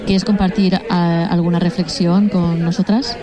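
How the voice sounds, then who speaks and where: A woman's voice: lowish voice, little pitch movement, measured pace, crowd noise. A woman interviewing a guest, thanking her in a grateful manner with hesitant fillers. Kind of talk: interview questions.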